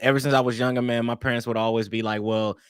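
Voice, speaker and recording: A young man from East St. Louis, talking loose and easygoing as an interview guest. He keeps circling back, restarting sentences and dropping "man" every few lines. His voice sits at an everyday pitch and sounds close and dry, with no room around it. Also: quick pace, clean tone, no echo. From East St. Louis, Illinois. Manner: relaxed, rambling, peppered with "man" and restarts. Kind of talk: casual interview storytelling.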